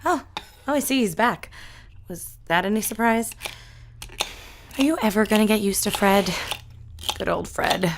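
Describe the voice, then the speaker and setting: A woman's voice: mid-range voice, unhurried, long pauses, background noise, close to an everyday voice. An actress playing an easygoing, kindly single woman in an audio drama. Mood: fond, easygoing.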